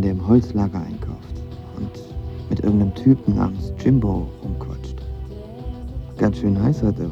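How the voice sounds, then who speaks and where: Low, creaky-voiced young man. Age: young adult. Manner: casual, slangy, self-deprecating. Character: lovestruck, nervous, chatty young New York actor. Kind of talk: first-person radio drama narration with dialogue. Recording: slightly dull audio with music behind.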